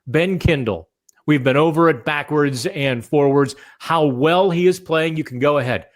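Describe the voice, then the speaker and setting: Slightly raspy male podcast host, rambling casual delivery, higher-pitched voice. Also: moderate pace. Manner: chatty, rambling with fillers and restarts. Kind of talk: casual solo podcast talk. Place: hotel room.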